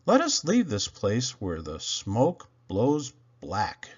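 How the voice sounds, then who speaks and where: A man reading children's poems aloud expressively, with theatrical, playful emphasis and dramatic pauses. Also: lowish voice, wildly swooping pitch, slightly rough, lo-fi sound. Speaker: storybook reader.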